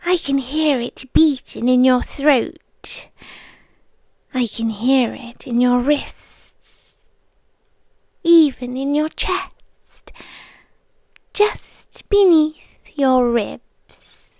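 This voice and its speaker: British woman doing a seductive yandere vampire gf asmr, high breathy voice, whispery, super close and dry, intimate. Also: a little mellow, no room sound, heavily acted. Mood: hungry, entranced. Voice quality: breathy, often dropping into whispers. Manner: slow, intimate, lingering delivery. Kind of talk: ASMR roleplay.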